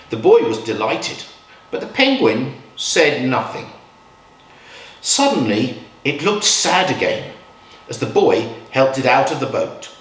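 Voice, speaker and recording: A man reading a picture book aloud to children in a measured, expressive storytelling style with dramatic pauses. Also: medium pitch. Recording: roomy echo, distant from mic.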